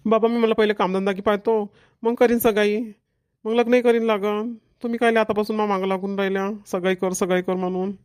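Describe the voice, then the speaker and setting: A man's voice: high-pitched, little pitch movement, fairly quick, darkish tone, smooth, no echo, clean studio sound, lightly acted. A man voicing a reluctant young son in a cartoon family comedy, grumbling and protesting with a Varhadi Marathi accent.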